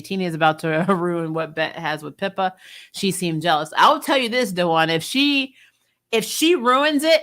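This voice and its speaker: A woman's voice: on the low side, sharp tone. A woman hosting a casual, unscripted review show, talking bluntly and animatedly and acting out little quoted asides. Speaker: host of a TV episode review show. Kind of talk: casual unscripted review chat with viewer comments.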